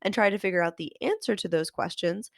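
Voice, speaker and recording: A woman podcast host and author, sounding nasal and congested from a cold, giving a measured, scripted lesson on fiction writing. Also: mid-range voice, creaky, dry recording, studio quality.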